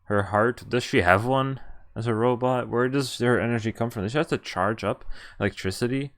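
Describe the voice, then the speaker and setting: A man's voice: medium pitch, brisk. A young man streaming a visual novel, reading the text aloud and adding casual commentary. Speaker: game streamer. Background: game music.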